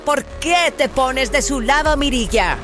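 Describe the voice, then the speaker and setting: A man's voice: very high-pitched, animated, unhurried, bright, forceful tone, faint music behind, pitched above the performer's own voice, exaggerated voice. A man voicing a fanatical, defiant female rebel leader in a declamatory, commanding way, in a dramatized sci-fi audiobook. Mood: indignant, zealous.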